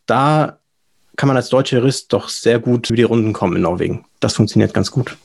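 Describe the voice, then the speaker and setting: A young German man speaks as an interview guest, explaining things in a casual way. His mid-pitched voice is distinctly husky, with plenty of vocal fry. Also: fairly quick. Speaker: podcast guest, lawyer.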